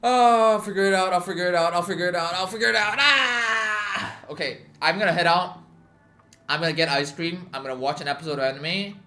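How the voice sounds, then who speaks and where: A man's voice: high-pitched, fairly quick, sharp tone. A young man chatting casually on a live stream, rambling with many fillers and swearing freely. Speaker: livestreamer.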